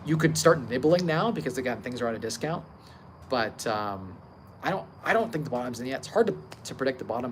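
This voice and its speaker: A thirty-year-old man hosting a casual livestream about investing, speaking informally, emphatically and confidently. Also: on the high side. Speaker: livestream host, real estate investor. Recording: clear steady background ambient noise.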